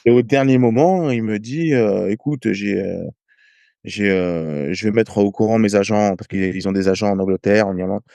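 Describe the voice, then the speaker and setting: A French man in his thirties, a rugby player guest, telling stories in casual podcast talk, halting with many hesitations and restarts. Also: fairly low pitch, vocal fry, dark tone, dry recording. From France. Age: 30s. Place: home in Ireland.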